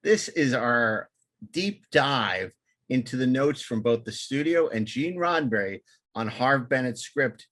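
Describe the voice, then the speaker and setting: A man with a mid-pitched voice hosts a podcast about film. He talks through background and reads from documents. The sound is close and dry, with little room around it. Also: lively intonation, measured pace, fairly bright tone, no echo. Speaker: podcast host. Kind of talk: film discussion with read-out studio notes.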